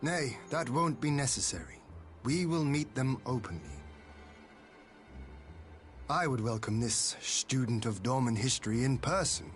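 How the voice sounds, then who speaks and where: Actor as proud, stately fantasy lord. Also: male voice, medium pitch, expressive, unhurried, long pauses, soft background music, natural voice. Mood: proud, resolute. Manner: stately, formal, archaic phrasing. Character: proud, dignified male lord, gracious and composed. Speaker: game voice actor. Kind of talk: scripted game dialogue.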